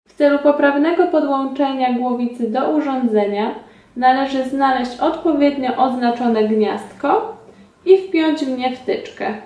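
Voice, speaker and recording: A woman in her thirties giving formal, measured scripted instructions as a trainer in a small indoor room. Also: high voice, a little mellow. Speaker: instructor presenting a beauty device. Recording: roomy echo, distant mic.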